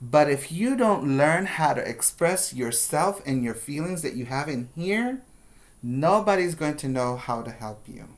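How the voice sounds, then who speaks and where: Preschool teacher doing storytime, talks real slow and simple, repeats stuff so little kids get it. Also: mid-range voice, animated. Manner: deliberate, simple phrasing with repetition for emphasis. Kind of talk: storybook read-aloud with explanations for young children.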